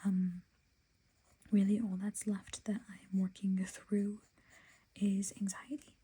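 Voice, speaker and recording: Softly whispering woman designer. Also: medium pitch, flat delivery, takes it slowly, breathy edge. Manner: soft whisper, chatty and self-talking. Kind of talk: whispered casual chat while sketching designs.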